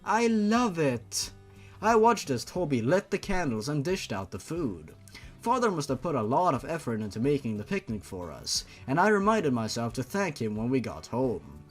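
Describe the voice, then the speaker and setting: A man reading a story aloud in a theatrical way, with drawn-out emphasis and sarcastic asides. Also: mid-range voice, sing-song, faint music behind. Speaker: host reading fan fiction aloud. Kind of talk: dramatic mocking story reading.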